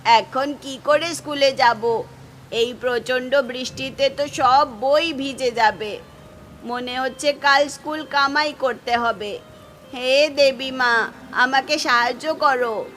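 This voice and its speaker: A woman's voice: high-pitched, takes it slowly, a bit bright, a little hiss or hum. A woman voicing an earnest, pleading young schoolboy from a poor family in a cartoon. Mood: worried, pleading. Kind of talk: cartoon voice acting.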